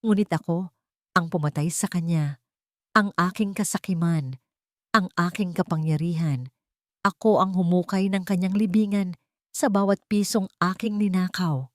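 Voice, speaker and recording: A woman's voice: fairly low pitch, normal speed, pauses often, no echo, studio quality, natural voice. A woman voicing a grieving, remorseful father, a powerful crime boss, in a solemn storybook reading.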